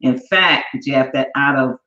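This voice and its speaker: A woman's voice: a bass voice, unhurried, bright, forceful tone. A woman co-hosting a show in a bubbly, effusive way, chatting casually and using lots of fillers. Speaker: show co-host. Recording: echoey, dull room audio.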